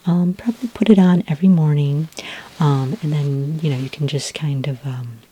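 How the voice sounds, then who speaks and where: A woman playing a kindly alien skin care consultant in an ASMR roleplay, speaking in a breathy near-whisper with a gentle, unhurried, reassuring manner. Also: low-pitched. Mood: soothing, reassuring.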